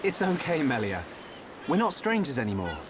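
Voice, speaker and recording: An earnest, lively-toned young hero. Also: male voice, on the high side, normal speed, noisy background. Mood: curious, gentle. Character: earnest, curious, friendly young man. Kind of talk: video game cutscene acting.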